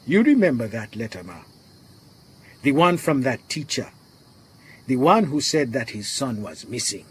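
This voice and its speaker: An actor playing a worried, earnest garage owner in a radio drama, with a Southern African accent, speaking gravely and deliberately. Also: male voice, mid-range voice, big pitch swings, exaggerated voice. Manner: grave, deliberate, repeats phrases for emphasis.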